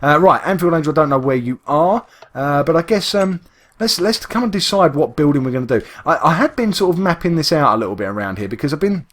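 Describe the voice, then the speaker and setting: A man's voice: fairly high pitch, expressive, fast, fairly bright tone. Chatty East London man, rambling. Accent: East London English. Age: adult, works full time. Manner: rambling, chatty, with frequent restarts and self-interruptions. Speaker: Minecraft YouTuber. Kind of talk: casual gameplay commentary.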